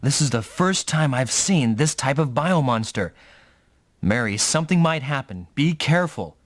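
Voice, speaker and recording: Man as animated, teasing young mech pilot, dry studio. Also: mid-range voice, moderate pace, dry recording, natural voice. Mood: serious, reassuring. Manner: casual, teasing. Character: young mech pilot, teasing, easygoing big-brother type. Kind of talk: anime-style video game dub acting.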